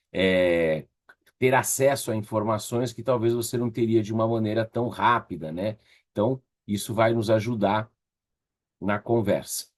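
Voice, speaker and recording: Slightly husky Brazilian man leading a workshop. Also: lowish voice, darkish tone. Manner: conversational, encouraging, checking in with tag questions. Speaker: course instructor leading an online workshop. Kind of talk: casual interactive online teaching.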